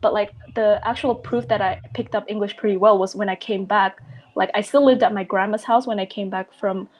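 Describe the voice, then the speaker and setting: Teen woman, Indonesian native speaker, middle-pitched, rambling casual podcast guest. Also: fairly even intonation, a little fast. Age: teens. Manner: rambling, many fillers and restarts.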